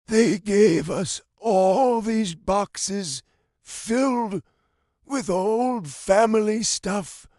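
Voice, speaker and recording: Guy narrating a scary story real slow, kinda high voice, dry close sound. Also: a little mellow, no echo. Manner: slow, drawn-out creepy reading. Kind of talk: reading a viewer's horror story aloud.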